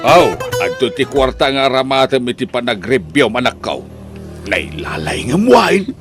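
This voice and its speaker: A man's voice: mid-range voice, big pitch swings, moderate pace, music in the background. A man with a Filipino accent playing a proud, hardworking, doting farmer father in an audio drama. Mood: fond, caring. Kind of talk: Ilocano audio drama acting. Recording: faint background noise.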